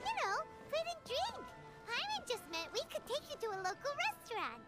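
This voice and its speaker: A woman voicing a tiny, proud fairy companion in a video game, squeaky and childlike, perky and a bit boastful. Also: very animated, a little fast. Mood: perky, helpful. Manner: perky, boastful, refers to herself by name. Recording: loud music bed underneath.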